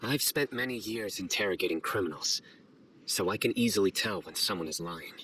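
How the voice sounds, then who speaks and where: Low-voiced, stern male investigator. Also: expressive, sharp tone, slight background noise, poor audio. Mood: skeptical, measured. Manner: measured, formal, deliberate. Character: stern, guarded male investigator, young adult, formal and measured. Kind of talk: scripted video game dialogue.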